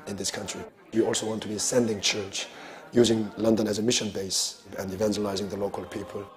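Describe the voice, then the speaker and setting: A man interviewed as a church pastor, speaking thoughtfully with frequent hesitations. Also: fairly low pitch. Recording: music bed underneath.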